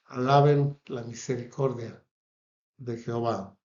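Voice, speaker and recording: A man's voice: mid-range voice, steady tone, slow, darkish tone, echoey room, muffled recording. A man with a Mexican accent preaching a sermon in an earnest cadence, stacking phrases one after another. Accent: Mexican Spanish. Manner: earnest, repetitive listing cadence.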